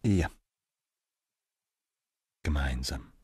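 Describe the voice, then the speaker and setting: An actor in a radio play playing the ghostly male poet lover of a woman, speaking in a deep, creaky whisper. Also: very slow, dark tone, exaggerated voice. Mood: murmuring.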